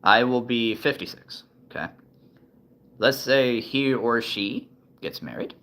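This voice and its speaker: A man's voice: medium pitch, slow, fairly bright tone. A man in his thirties chatting casually to the camera, thinking aloud with many ums and restarts. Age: 30s. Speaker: vlogger.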